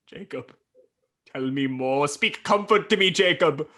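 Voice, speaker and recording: A frightened old miser played by a male actor speaks in a slightly high voice. He is hesitant and pleading, with a grudging, wry edge, in theatrical radio play style. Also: measured pace, sharp tone. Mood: anxious, pleading.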